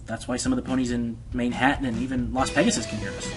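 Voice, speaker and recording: A man's voice: medium pitch, steady tone, fast talker, close to an everyday voice, low-quality recording. Over background music comes a man acting a male pony radio host in an audio drama. His mid-range voice is earnest and self-deprecating, with a defensive, passionate temper. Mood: hesitant, uneasy. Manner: earnest, hesitant, turning indignant. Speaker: radio show host being interviewed. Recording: music behind the voice.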